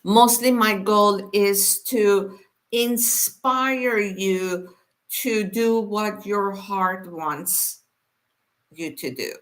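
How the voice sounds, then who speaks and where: Woman born in Iran, live-stream host, slow and unhurried with lots of ums, mid pitch. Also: a bit bright. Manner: unhurried, many fillers, speaks straight to viewers. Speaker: host of a weekly live inspirational session. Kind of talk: casual live-stream talk.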